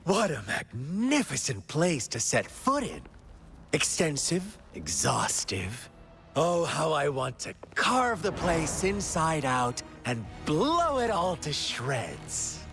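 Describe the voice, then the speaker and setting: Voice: mid-range voice, wildly swooping pitch, slow pace, fairly bright tone, exaggerated voice. An actor playing a politely sinister, playfully destructive video game villain, drawling with theatrical mock courtesy over music. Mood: sinister, savoring. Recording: faint music behind the voice.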